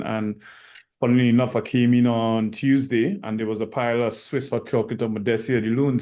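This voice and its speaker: A middle-aged man from Trinidad and Tobago, an assistant coach answering interview questions in a measured way, with many pauses. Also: mid-range voice, warm tone.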